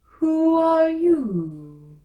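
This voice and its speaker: A woman voicing a large storybook caterpillar, languid and stern, with curt, drawn-out, scornful speech. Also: extremely high pitch, big pitch swings, dark tone, soft background music, pitched above the performer's own voice, full character voice. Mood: stern, demanding. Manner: drawn-out, curt, sleepy delivery. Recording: roomy echo, distant from mic.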